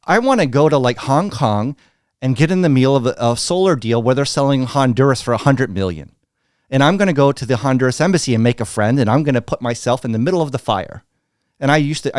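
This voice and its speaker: A middle-aged American man telling candid business stories as an interview guest, blunt and animated, acting out what others said. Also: mid-range voice, fairly quick, no room sound, studio quality. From United States. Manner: blunt, animated, acts out quoted dialogue.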